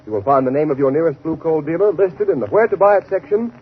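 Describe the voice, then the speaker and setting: A tinny, narrow 1930s radio recording carries an American male announcer reading a commercial. His voice is very rough and swoops widely as he pitches emphatically. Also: animated, fast talker. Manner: emphatic, salesmanlike.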